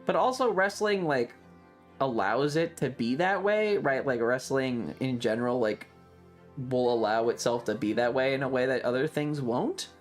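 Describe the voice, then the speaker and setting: A streamer with a mid-pitched voice talks casually over background music. The delivery rambles along, opinionated and emphatic, with swearing dropped in freely as they address a live audience. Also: animated, moderate pace. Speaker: livestreamer. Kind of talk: casual chat to a livestream audience.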